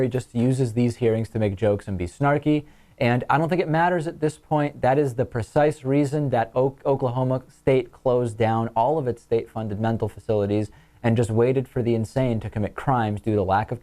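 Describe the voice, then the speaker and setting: A male talk show host with an American accent. He speaks at a middle pitch in a commentary style, moving between listener mail and his own remarks. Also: mellow.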